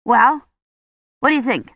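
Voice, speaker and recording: An eager, stubborn young boy acted out by a narrator, pitched well above the narrating voice. The lines are pleading and insistent, in a storybook reading with dull, lo-fi sound. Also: animated, a little slow, creaky, dry recording.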